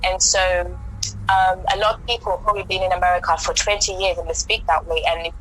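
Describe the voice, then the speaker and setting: A young woman from Kenya with a British accent, chatting as a podcast guest, reflective and quick to laugh. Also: on the low side, a bit bright, poor audio. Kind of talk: casual chat.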